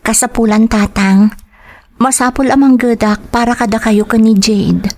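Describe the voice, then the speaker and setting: A woman's voice: fairly high pitch, fairly even intonation, moderate pace, darkish tone, close to an everyday voice. A woman acting an anguished, devoted daughter and girlfriend in an audio drama, speaking emotionally and pleadingly with an Ilocano accent. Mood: worried, pleading. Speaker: lead actor and first-person narrator.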